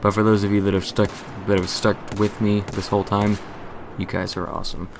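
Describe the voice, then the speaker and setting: A young man who hosts a gaming channel, talking through a casual channel update in a monotone voice, rambling, correcting himself and joking along the way. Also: on the low side, brisk, creaky, noisy background.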